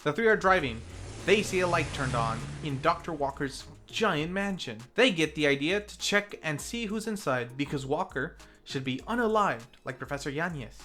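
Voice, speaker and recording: Film recap narrator, scripted, lively intonation, wry asides, faint background music. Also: mid-range voice, moderate pace. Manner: wry tongue-in-cheek asides. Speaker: video reviewer.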